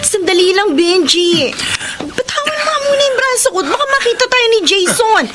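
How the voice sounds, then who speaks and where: Radio melodrama lead: frightened Filipino woman, extremely high, swooping, imploring voice, noisy ambience behind. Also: big pitch swings, normal speed, loud music behind the voice. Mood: fearful, pleading. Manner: anxious, imploring. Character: frightened, pleading woman caught between two rivals. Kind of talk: melodramatic radio drama acting.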